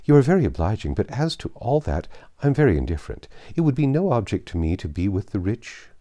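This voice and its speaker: A man voicing a reserved, polite young woman in a classic novel reading, formal and quietly firm. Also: lowish voice, sing-song, fairly quick, voice pushed up. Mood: earnest, restrained.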